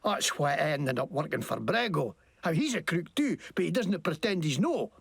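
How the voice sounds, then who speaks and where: An actor playing a crude, scheming wasteland mechanic who fakes a bad Scottish accent and rants with profane, jumpy indignation in a video game. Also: male voice, a little high, big pitch swings, normal speed, creaky, a bit bright, husky, no room sound, clean studio sound, heavily acted. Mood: indignant. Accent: put-on, badly faked Scottish. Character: crude scheming wasteland grease-monkey man faking Scottish. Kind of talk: video game character acting.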